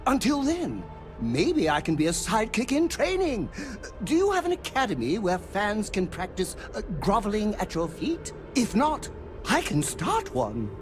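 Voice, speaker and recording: A woman's voice: medium pitch, wildly swooping pitch. An actress playing an obsessive, theatrically adoring female superfan of a space hero in a video game cutscene. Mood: eager, pleading. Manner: theatrical, breathless adoration, swooping from shouts to drawn-out awe. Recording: music behind the voice.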